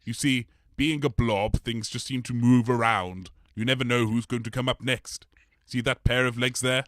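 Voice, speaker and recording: A man's voice: medium pitch, steady tone, normal speed, fairly bright tone, dry recording, clean studio sound, lightly acted. A man playing a polite, bumbling blob creature in a comedy audio drama, hesitant, rambling and apologetic. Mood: genial, hesitant.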